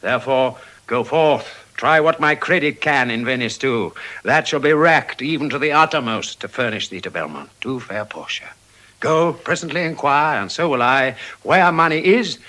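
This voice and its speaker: An actor with a British accent playing a devoted, generous Venetian merchant in firm, declamatory classical Shakespearean style. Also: male voice, a little high, normal speed, pushed and bright. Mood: resolute.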